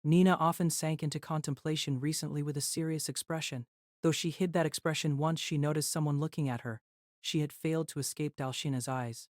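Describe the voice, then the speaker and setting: A woman's voice: sits low, steady tone, brisk, darkish tone, dry recording, studio quality. A woman reading scripted narration of a novel as an audiobook. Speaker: narrator and reader.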